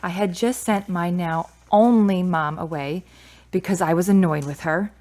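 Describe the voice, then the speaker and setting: A woman's voice: fairly low pitch, normal speed, darkish tone, lightly acted. A woman playing a candid, reflective, grieving daughter of about thirty in a radio drama. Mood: subdued, candid.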